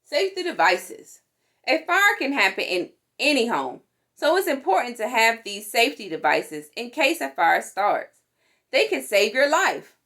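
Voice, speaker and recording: A woman reading a children's safety book aloud in an animated, instructive manner. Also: fairly high pitch, measured pace. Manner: animated, instructive, acts out sound words. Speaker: storytime reader.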